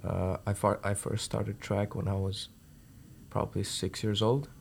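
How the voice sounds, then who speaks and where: A young man from India and Zambia speaks casually in an interview. His voice is very deep and quite monotone, with faint noise in the background. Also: measured pace, vocal fry. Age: teens or young adult. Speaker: student athlete podcast guest.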